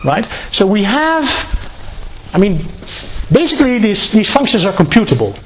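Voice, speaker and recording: A university maths lecturer thinking aloud through a proof, halting and deliberate in delivery. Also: mid-range voice, very animated. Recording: dull lo-fi sound, faint noise.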